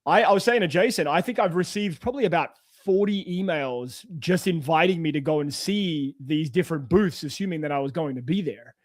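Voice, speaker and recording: A man's voice: fairly high pitch, fairly quick, hardly any pauses, no room sound. A man chatting as a guest on a tech podcast, loose and full of fillers. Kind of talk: casual panel chat.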